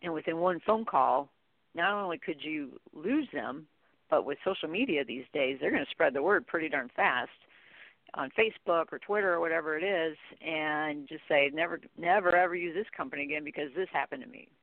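A woman's voice: deep voice, a little fast, no echo. Woman guest on a tinny phone line. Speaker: guest expert on contact center training. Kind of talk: conversational interview discussion.

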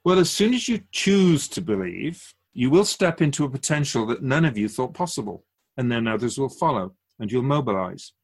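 A man playing a wise, otherworldly spirit in a radio drama, patient and gently teasing, speaking in a measured, formal way with musing questions. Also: mid-range voice, very animated, slightly rough. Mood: musing, encouraging.

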